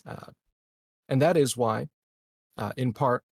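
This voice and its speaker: A man roleplaying a measured, diplomatic colony administrator in a tabletop game, speaking formally and haltingly. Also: medium pitch, creaky, breathy edge, no room sound, studio quality, full character voice.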